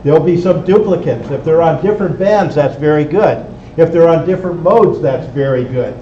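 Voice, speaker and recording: A man's voice: fairly high pitch, moderate pace, mellow. A man instructing a group in practical, explanatory fashion, often checking that they follow. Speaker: instructor at an amateur radio club. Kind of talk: hands-on group teaching, explaining to a room. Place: indoor club meeting room. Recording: echoey room, distant dull mic, faint noise.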